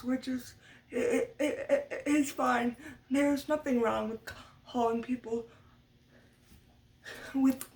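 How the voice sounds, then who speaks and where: A woman's voice: high voice, darkish tone. A young woman vlogger who stutters often, repeating sounds as she tells a sit-down story at home. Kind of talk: sit-down story time talk. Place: home room with her dog nearby. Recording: voice a bit far from the mic.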